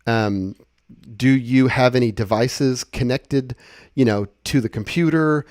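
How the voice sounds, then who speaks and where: A man giving a casual, conversational software walkthrough, explaining things step by step. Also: medium pitch, measured pace, no room sound, clean studio sound. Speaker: software tutorial presenter.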